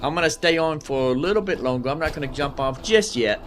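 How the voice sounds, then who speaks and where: A man's voice: on the high side, expressive, fast talker, a bit bright, faint music behind. A man doing casual live gameplay commentary, thinking aloud and often repeating himself. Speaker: game streamer.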